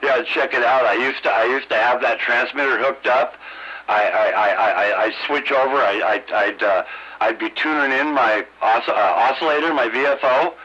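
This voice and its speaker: A middle-aged or older American man chatting casually as a radio hobbyist, halting and repeating his words, over a CB radio transmission. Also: mid-range voice, sharp tone. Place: two-way CB radio conversation. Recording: dull, noisy CB radio transmission.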